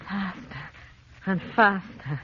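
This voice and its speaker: An actress playing a fearful, gentle professor's wife in an old radio horror drama, hesitant and pleading. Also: female voice, medium pitch, slow pace, lightly acted. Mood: dread. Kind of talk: 1940s radio horror acting. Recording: dull, faintly noisy old radio recording.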